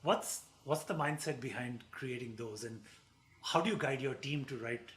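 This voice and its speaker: Bright-voiced male co-host. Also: mid-range voice, moderate pace. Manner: asks long winding questions with fillers. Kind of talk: conversational livestream interview.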